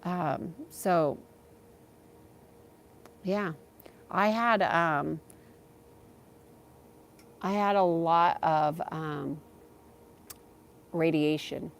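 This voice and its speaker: A woman host chatting casually on a livestream as a spiritual guide, thinking aloud in a halting way as she takes viewer questions. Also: sits low.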